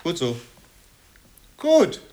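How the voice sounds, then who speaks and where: An actor playing a courteous, exacting painter in a German radio play, giving polite and slightly hesitant instructions. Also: male voice, a little high, wildly swooping pitch, drawn-out words, warm tone, a little hiss or hum, full character voice. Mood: polite directing.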